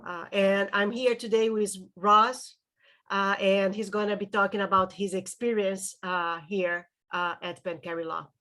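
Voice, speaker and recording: A woman's voice: medium pitch, steady tone, measured pace, pushed and bright. A woman from Brazil with a Brazilian Portuguese accent, a legal writing instructor giving a webinar presentation, often hesitating and repeating words.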